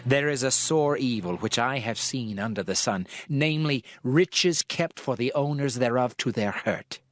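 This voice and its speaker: A man's voice: medium pitch, no room sound. Solemn man reading scripture over music. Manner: solemn, measured, declamatory delivery. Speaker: scripture narrator. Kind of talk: dramatized audio Bible reading. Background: background music.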